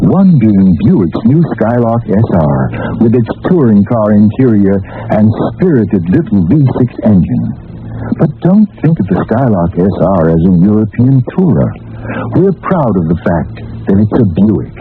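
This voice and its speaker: A man's voice: on the low side, big pitch swings, normal speed, a little hiss or hum. Polished male announcer on tinny old radio. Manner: polished, promotional. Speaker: commercial announcer. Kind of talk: scripted 1970s radio car advertisement. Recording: tinny 1970s radio recording.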